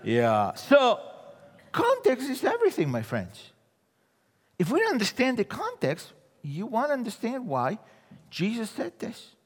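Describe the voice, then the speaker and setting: A man with an Argentine accent preaches in a lively, sing-song voice that sits high for a man. His sermon runs through funny stories, and he drops his voice low and quiet for each punchline. The sound carries the long reverb of a church hall. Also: a little slow, pauses often, slightly rough. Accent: Argentine Spanish. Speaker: guest preacher.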